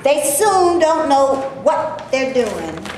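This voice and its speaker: A woman's voice: sits high, very animated, takes it slowly, a little mellow, full character voice. A woman acting a feisty, fed-up film actress who is stuck playing maids, in a staged play reading, with sassy, indignant colloquial talk. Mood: scornful. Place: echoey room before a live audience. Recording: echoey room with noise, live audience recording.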